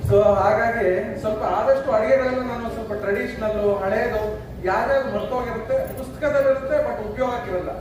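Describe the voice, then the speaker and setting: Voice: mid-range voice, fairly even intonation. A person rattles off a traditional recipe at great speed, counting ingredients step by step. The sound is dull and muffled, coming from far away in a reverberant hall with faint noise. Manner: brisk, listing ingredients step by step. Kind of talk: casual explanation of a traditional recipe. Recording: echoey hall, distant mic, dull sound.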